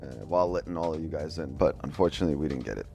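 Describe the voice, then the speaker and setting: Deep-voiced man streaming trades, casual. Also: expressive, racing, gravelly, mellow. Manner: loose, profane, chatty, talks to chat. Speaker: day trading streamer. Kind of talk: casual live-stream commentary. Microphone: headset mic. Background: faint music.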